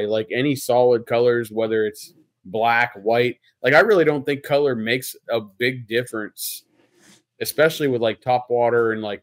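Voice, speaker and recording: A man's voice: mid-range voice, no echo, clean studio sound. A man co-hosting a casual fishing podcast over a video call, telling stories with animation and hedging his opinions. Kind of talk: casual storytelling chat.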